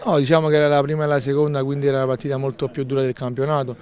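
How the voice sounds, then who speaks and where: A young man, a football defender, calmly answering post-match questions outdoors, repeating his phrases. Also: mid-range voice, racing. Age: young adult. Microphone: handheld interviewer mic.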